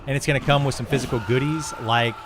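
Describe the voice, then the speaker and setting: A man's voice: medium pitch, steady tone, quick pace. A middle-aged man, a video game streamer, talking casually and a bit ramblingly as he answers viewers on a livestream. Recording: clear background noise and ambience.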